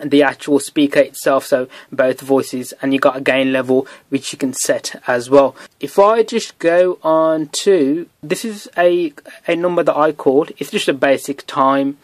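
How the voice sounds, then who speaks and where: A man hosting a casual phone tutorial, relaxed and chatty with many fillers. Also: on the high side, unhurried, dry recording. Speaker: tech video host. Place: indoors at a desk.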